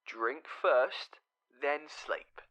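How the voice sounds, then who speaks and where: An actor playing an affectionate, upbeat partner in an audio drama, hesitant and coaxing in manner. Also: male voice, mid-range voice, lively intonation, drawn-out words, pushed and bright, dull audio, exaggerated voice. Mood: soothing, coaxing. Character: doting, upbeat male partner, evasive and soothing.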